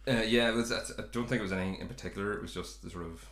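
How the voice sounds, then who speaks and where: A man's voice: lowish voice, rapid-fire, a bit bright, roomy sound. A man hosting a podcast, chatting casually about a sitcom in a rambling, self-correcting way. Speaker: podcast host.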